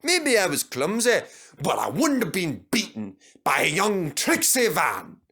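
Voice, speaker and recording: A man voicing an excitable, earnest and blunt twin steam engine in a children's story. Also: high voice, measured pace, husky, pitched above the performer's own voice, exaggerated voice. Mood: defensive.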